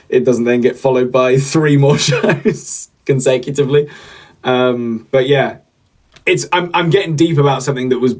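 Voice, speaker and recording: Male podcast host, young, loose and rambling, natural speaking pitch. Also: darkish tone. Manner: rambling, chatty, many fillers and restarts. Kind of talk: casual livestream chat, reading the chat.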